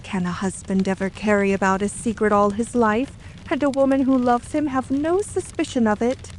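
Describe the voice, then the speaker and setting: A woman's voice: on the high side, fairly even intonation, moderate pace, a little hiss or hum, close to an everyday voice, low-quality recording. A woman playing a composed, guarded English wife of a murdered man in an audio drama, speaking earnestly and formally.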